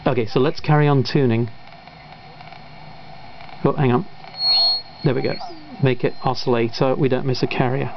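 A man's voice: medium pitch, steady tone, moderate pace, long pauses. A man giving a casual, off-the-cuff hobbyist demonstration of a radio. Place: indoors at a radio bench. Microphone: camera microphone. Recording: dull camera audio, loud radio static. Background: shortwave radio noise and signals.

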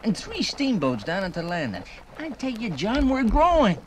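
A man's voice: sits high, wildly swooping pitch, moderate pace. An actor playing a folksy frontier townsman in a 1950s radio drama. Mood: proud, excited. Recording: noisy 1950s vinyl radio recording.

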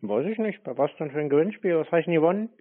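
A man answering scam calls in slangy casual chat, with a gruff, flippant and mocking manner. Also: on the high side, lively intonation, fast, no room sound. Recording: dry narrow phone-like sound.

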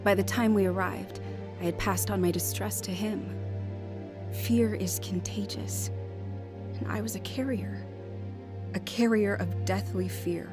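An actress playing an anxious, earnest young wife terrified of death, in a radio drama. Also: female voice, mid-range voice, close to an everyday voice. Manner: earnest, fretful. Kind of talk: radio drama acting and narration.

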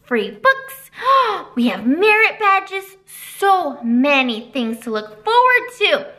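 Woman in her 30s, storytime librarian; high and singsong, with a lively, swooping delivery. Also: slow pace. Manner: animated, sing-song teacher voice. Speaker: children's librarian. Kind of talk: storytime reading and announcements for kids. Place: library children's room, indoors.

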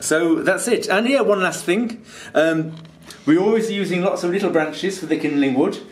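A man's voice: high voice, moderate pace. Patient man explaining step by step. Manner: patient, step-by-step, frequent ums. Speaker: holiday rental host. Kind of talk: instructional tutorial. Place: stone-walled home kitchen, indoors.

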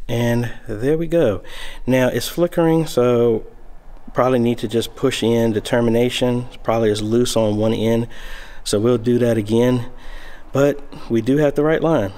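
A man's voice: mid-range voice, normal speed. Guy in his 30s filming himself wiring cameras in a church, laid back, talks thru each step, phone at arm's length. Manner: relaxed, explanatory, thinking aloud while working. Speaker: church video system installer. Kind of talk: casual how-to vlog. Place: church sanctuary, large room, indoors. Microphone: handheld phone camera, arm's length.

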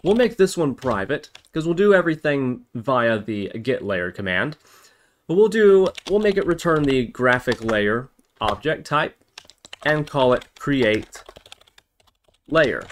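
A man's voice: mid-range voice, big pitch swings, unhurried, slight background noise. A man narrating a programming tutorial, explaining step by step and thinking aloud as he goes. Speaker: tutorial host.

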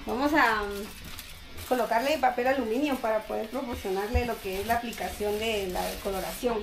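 Young Guatemalan woman barber, gentle explanatory talk, barbershop with music behind. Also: a little high, fairly quick. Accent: Guatemalan Spanish. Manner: soft-spoken, explanatory, with hesitant fillers. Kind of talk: explaining her work while coloring hair. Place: barbershop, indoors.

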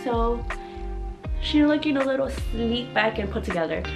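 A woman's voice: on the high side, lively intonation, moderate pace. A woman in her twenties chatting casually as a vlogger, informal and slangy with a self-deprecating streak, recorded on a camera in a bedroom. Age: 20s. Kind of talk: casual chatty vlog narration. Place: small apartment bedroom, indoors. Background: background music.

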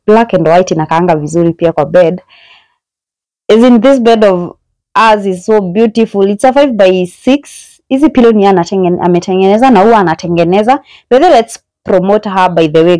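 A woman's voice: mid-range voice, darkish tone, dry recording, rough recording. A young woman with a Kenyan accent gives a chatty, admiring tour of a small room, recorded on a handheld phone. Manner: chatty and admiring, mixing English with Swahili slang. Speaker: vlogger giving a house tour. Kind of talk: casual house-tour narration. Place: small indoor bedsitter room.

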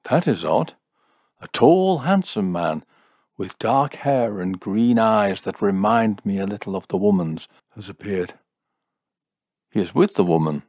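Man reading as a slow, portentous fortune-telling seer. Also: fairly low pitch, animated, a little mellow, no echo, clean studio sound. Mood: mystical. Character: solemn, dogged, mystical fortune-telling seer, male. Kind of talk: dramatised storybook reading.

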